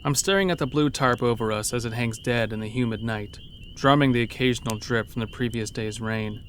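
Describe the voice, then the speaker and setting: A man's voice: medium pitch, brisk, fairly bright tone, a little hiss or hum. A man narrating a scripted first-person horror story as an uneasy logging worker.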